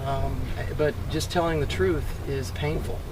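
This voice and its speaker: A man speaking politely off the cuff in a halting, measured way. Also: fairly high pitch, steady tone. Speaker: co-interviewer. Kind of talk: unscripted spoken appreciation. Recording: loud wind and boat noise.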